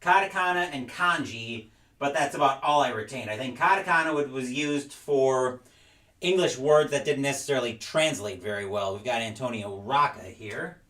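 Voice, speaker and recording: A man's voice: mid-range voice, moderate pace, fairly bright tone, far from the mic. A young adult man chatting casually while unboxing and sorting wrestling game cards at home, rambling and reading names aloud as he goes. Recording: roomy, off-mic sound.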